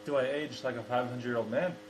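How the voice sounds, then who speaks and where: Fast-talking man in his twenties. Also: mid-range voice, fairly even intonation, echoey room, a little hiss or hum, poor audio. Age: 20s. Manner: quick, decisive, questioning. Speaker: co-host answering questions. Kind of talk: casual chat.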